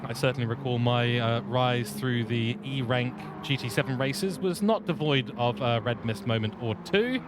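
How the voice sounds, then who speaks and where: Male lead race commentator, lively and playful, mid-pitched, noisy background. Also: normal speed, few pauses. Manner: lively, self-deprecating, playful asides. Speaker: sim racing lead commentator. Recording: steady background noise.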